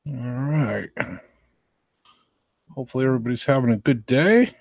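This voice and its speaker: An American man casually hosting a livestream in a low-key, halting way. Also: creaky, darkish tone, no room sound. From southern Virginia, United States. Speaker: livestream host.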